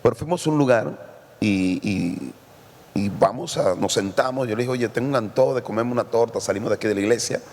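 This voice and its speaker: A man from Mexico, a guest pastor, telling lively anecdotes with quoted dialogue in a casual church podcast. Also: mid-range voice, a little fast, big-room sound.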